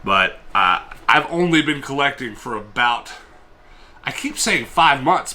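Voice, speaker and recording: A man's voice: medium pitch, bright, forceful tone, a little hiss or hum. A man chatting casually in a vlog, showing off his collection and rambling with self-deprecating humor. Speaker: collector vlogger. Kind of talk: casual show-and-tell vlog.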